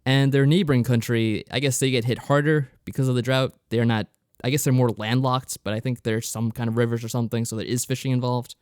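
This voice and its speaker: A man's voice: mid-range voice, fairly even intonation, a little fast, few pauses, a little mellow, smooth, dry recording, studio quality. A man co-hosting a podcast, explaining things in a casual, loosely phrased review style. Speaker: podcast co-host.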